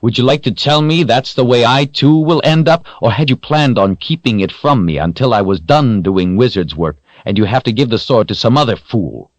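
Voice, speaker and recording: A man's voice: mid-range voice, animated, normal speed, no echo, voice pushed up, natural voice. A man voicing a troubled young hero, his lines strained and rising into anguished outbursts. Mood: furious.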